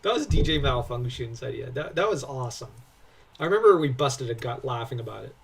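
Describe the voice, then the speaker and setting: A man's voice: medium pitch, lively intonation, a little fast, vocal fry, distant mic, a little hiss or hum. A young man co-hosting a casual lore breakdown, talking in an enthusiastic, rambling way full of tangents. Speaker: co-host commentator.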